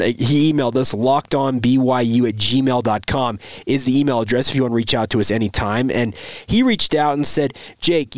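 A man with a slightly raspy, cold-hoarsened voice hosting a sports podcast solo, talking straight to listeners in an emphatic, conversational way. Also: medium pitch, no room sound. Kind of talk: solo sports talk with read-out sponsor spots.

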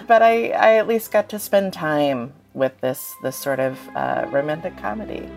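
A woman's voice: deep voice, normal speed, vocal fry, darkish tone, soft background music. A woman speaking as a guest author in a thoughtful interview, hesitantly searching for her words.

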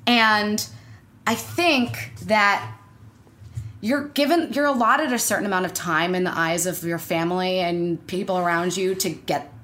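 A woman's voice: mid-range voice, measured pace, fairly bright tone. A woman in her twenties, an actor and screenwriter, chatting as an interview guest with lots of restarts and small laughs. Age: 20s. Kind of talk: casual interview chat.

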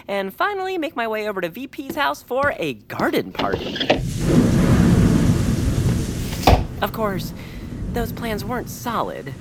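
A woman's voice: mid-range voice, noisy background. A woman voicing a fussy, timid, polite male homebody in a family audio drama, prim and hesitant. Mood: prim, contented. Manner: prim, hesitant, stammering when flustered.

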